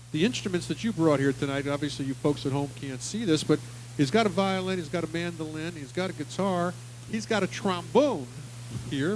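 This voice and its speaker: A New man hosting a casual radio show with a band, his voice sitting slightly high and often trailing into restarts. A faint hum lies underneath, with rain drumming on a tin roof. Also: poor audio. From Long Island, New York. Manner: friendly, rambling, with restarts. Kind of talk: casual interview with a live band.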